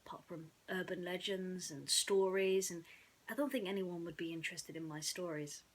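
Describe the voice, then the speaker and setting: A woman's voice: lowish voice, fairly even intonation, moderate pace. A woman reading stories to children in a playful, theatrical way, with chatty asides. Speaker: children's bedtime story reader. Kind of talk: animated storytelling for children.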